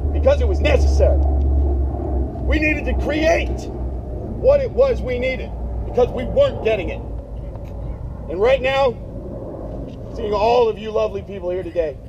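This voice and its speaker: A man speaking loudly and emphatically in a casual way, giving a eulogy at a memorial gathering. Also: extremely high pitch. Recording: dull lo-fi sound, faint noise.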